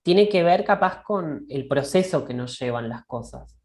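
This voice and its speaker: A woman co-hosting a reflective discussion. She thinks aloud in a halting way and keeps checking in with a questioning "right?". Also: low-pitched, lively intonation, a little mellow. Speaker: discussion co-host. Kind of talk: reflective conversational dialogue.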